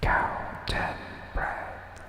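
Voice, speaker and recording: A man whispering a guided breathing meditation in a slow, soothing way, leaving long pauses. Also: sharp tone, a little hiss or hum. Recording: echoey room with long reverb.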